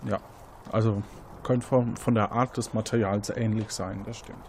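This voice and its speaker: A man's voice: fairly low pitch, steady tone, normal speed, a little mellow, a little hiss or hum. A man hosting as game master, narrating an interactive detective game in a conversational, improvised manner.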